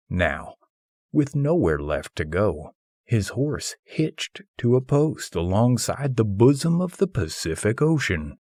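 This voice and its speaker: A man reading a humorous philosophical essay as scripted audiobook narration, with husky, measured, deliberate phrasing. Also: lowish voice, darkish tone, dry recording, clean studio sound.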